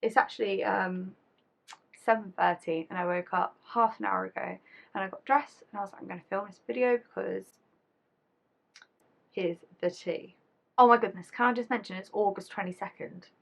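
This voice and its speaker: Twenties woman YouTuber; chatty, rambling, slangy, voice lowered from illness. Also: fairly even intonation, normal speed, vocal fry. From England. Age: 20s. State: voice deeper than usual after illness. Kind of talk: casual chatty vlog.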